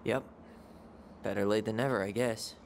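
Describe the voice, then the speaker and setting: A man acting a low-voiced, guarded young man. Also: fairly even intonation, normal speed, slight background noise, close to an everyday voice. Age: young adult. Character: guarded, sardonic young man, bitter about his past. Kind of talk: naturalistic video game acting.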